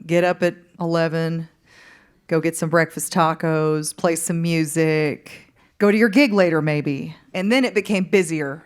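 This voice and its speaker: A woman musician from the Upper Midwest talking frankly with a host in a relaxed interview on a stage. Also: low-pitched, measured pace, smooth. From Fargo-Moorhead area, Upper Midwest. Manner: candid, frank, laughs easily. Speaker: musician guest. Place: stage before a live audience.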